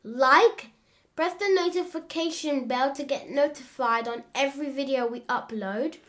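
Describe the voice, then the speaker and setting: A woman voicing an eager little child puppet, presenting slowly and carefully in a children's puppet show. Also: sits high, fairly bright tone. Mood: polite, earnest. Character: little child puppet Elle, eager young geography helper.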